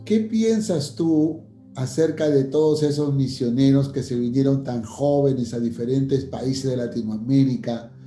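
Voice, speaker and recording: A man's voice: mid-range voice, a little slow, dark tone, roomy sound, faint music behind. A man interviewing a guest in casual chat, affirming and asking follow-up questions.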